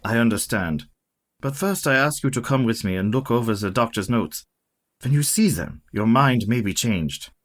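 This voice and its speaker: An actor playing a solemn, authoritative older professor and vampire hunter in a radio play, speaking formally and deliberately. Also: male voice, medium pitch, animated, natural voice. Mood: persuasive, courteous.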